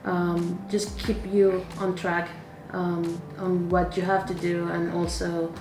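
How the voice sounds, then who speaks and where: A woman's voice: on the low side, little pitch movement, slow, reverberant, far from the mic, poor audio. A woman in her twenties from Venezuela who speaks natively, giving short, plain answers as an executive assistant. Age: 20s. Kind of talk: casual interview answers.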